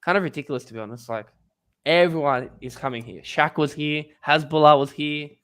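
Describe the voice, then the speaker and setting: A young man from Australia co-hosting a football podcast, rambling and joking through his points. His voice sits a little high. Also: fairly quick, a bit bright, clean tone. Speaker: podcast co-host. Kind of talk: casual chat.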